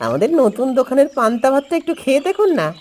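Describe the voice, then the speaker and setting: A woman's voice: sits high, very animated, very fast, darkish tone. A woman voicing a humble village father in a cartoon story, courteous and earnest. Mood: eager, hospitable. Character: humble village father running a new food stall.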